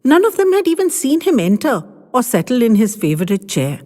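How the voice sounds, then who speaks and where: A woman reading a family story aloud with expressive storytelling. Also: a little high, a little fast. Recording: long hall reverb.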